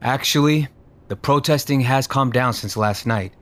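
A man's voice: mid-range voice, normal speed, a bit bright, natural voice. A man playing an earnest, loyal police chief, deferential but firm, in an audio drama. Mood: cautious. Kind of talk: scripted audio drama acting.

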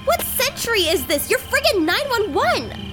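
A woman's voice: very high voice, very animated, normal speed. An actress playing a sarcastic, squeamish young woman who snaps and panics. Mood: frantic. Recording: audio drama with music beneath.

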